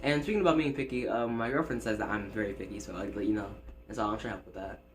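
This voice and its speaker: A man's voice: on the low side, quick pace, faint music behind. Rambling teen guy who stutters. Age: teens. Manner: rambling, many fillers and restarts. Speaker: YouTuber. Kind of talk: casual vlog chat. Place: his bedroom. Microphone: phone set up in room.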